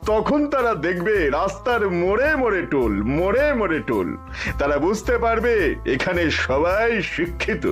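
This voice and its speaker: A crafty cartoon scholar with a high, lively voice that swoops up and down as he smoothly talks his way into favour. Soft music plays behind him. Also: moderate pace. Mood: persuasive. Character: sly smooth-talking male scholar, scheming. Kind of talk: cartoon voice acting.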